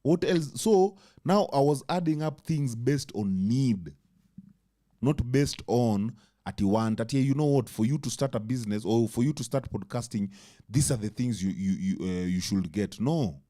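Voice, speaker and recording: Animated man with a big, dark voice. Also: medium pitch, moderate pace. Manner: animated, swears freely, slips into Swahili words. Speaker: podcast co-host. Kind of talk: casual podcast chat.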